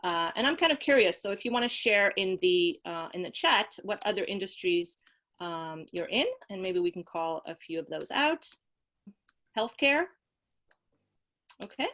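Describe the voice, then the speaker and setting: Woman webinar host, friendly, chatty, ums and uhs, middle pitch. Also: expressive, moderate pace, fairly bright tone. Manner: friendly, with frequent fillers. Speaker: webinar host from the marketing team. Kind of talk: hosting a webinar, reading poll results.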